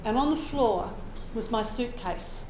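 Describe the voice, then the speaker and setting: A woman with an Australian accent, croaky from a cold, telling an earnest personal story to a live audience and clearing her throat now and then. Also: on the high side, animated, unhurried, roomy sound, background noise. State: hoarse from a cold. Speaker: open mic storyteller.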